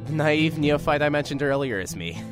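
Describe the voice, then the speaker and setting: A man's voice: on the high side, moderate pace, over loud music, natural voice. An actor playing a nervous, rambling young man filling in as a radio host in an audio drama. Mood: earnest, rambling. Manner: rambling, self-deprecating, lively.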